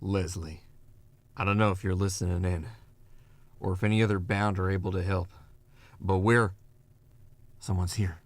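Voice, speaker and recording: A man's voice: low voice, steady tone, moderate pace, pauses often, a little hiss or hum. An actor playing a weary, haunted survivor who narrates his ordeal in a grim, terse first-person horror drama style. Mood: urgent.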